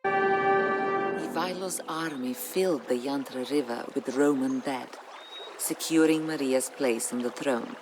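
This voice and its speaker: An actor narrating a historical game campaign as a solemn storyteller, with grave, measured, dramatic delivery. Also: medium pitch. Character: solemn storyteller chronicling a medieval Bulgarian uprising. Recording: music under the voice. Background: background music.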